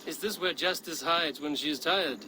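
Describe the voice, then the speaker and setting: An actor playing a stern, defiant, world-weary Viking warrior in a video game, terse, grave and blunt. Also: male voice, on the high side, normal speed, noisy background, natural voice. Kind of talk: dramatic video game acting.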